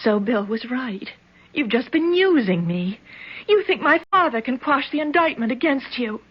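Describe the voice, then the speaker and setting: Headstrong young daughter, a bit high-pitched, in an old radio play. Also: female voice, expressive, normal speed, breathy edge. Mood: pleading. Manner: earnest, emotional. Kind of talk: 1970s radio drama acting. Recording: dull old radio broadcast.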